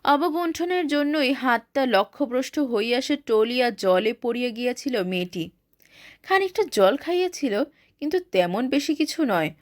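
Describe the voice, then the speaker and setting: A woman reading a classic short story aloud in a formal literary style, with expressive delivery on a close mic. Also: a little high, moderate pace, no echo.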